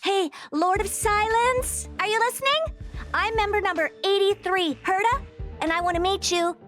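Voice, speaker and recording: Very high-voiced brash young genius girl. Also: normal speed, fairly bright tone, soft background music. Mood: confident, eager. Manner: blunt, dismissive, self-assured. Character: brash young female genius scientist, haughty and impatient. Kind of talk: video game voice acting.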